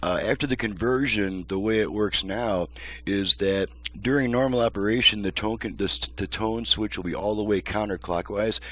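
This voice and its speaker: A man's voice: on the low side, moderate pace, fairly bright tone. A man giving a casual hands-on demonstration as a repair technician, explaining methodically in plain words, step by step. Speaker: radio repair technician, video host.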